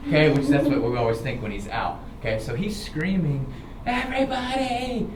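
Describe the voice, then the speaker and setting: An American man tells informal personal stories in an animated, dramatic way, often checking in with his listeners. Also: a little high, moderate pace. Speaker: storyteller, show host. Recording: roomy, distant, clearly noisy recording.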